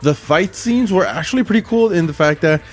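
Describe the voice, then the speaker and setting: A man's voice: high-pitched, lively intonation, brisk, faint music behind. A man co-hosting a podcast, chatting casually about movies in a reactive, emphatic way. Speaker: podcast co-host. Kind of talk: casual movie review chat.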